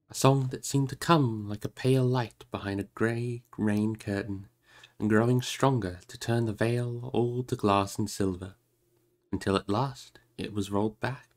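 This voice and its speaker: Low-voiced man reading aloud. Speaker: streamer reading a book aloud. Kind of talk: audiobook-style narration with casual livestream chat.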